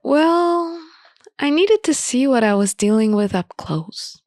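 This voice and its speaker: A woman's voice: high-pitched, lively intonation, takes it slowly, a little mellow, no echo, clean studio sound. A woman reading scripted first-person story narration for a visual novel playthrough.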